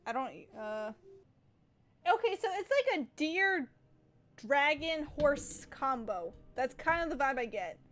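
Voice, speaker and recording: A woman giving casual live game commentary, chatty and thinking out loud as she reacts. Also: high-pitched, sing-song, slow pace. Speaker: game streamer.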